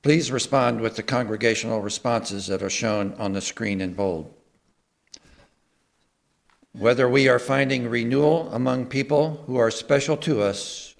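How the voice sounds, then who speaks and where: A man speaking to a congregation in an earnest, measured way, giving a sermon and liturgical readings. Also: lowish voice, vocal fry. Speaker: service speaker at a church.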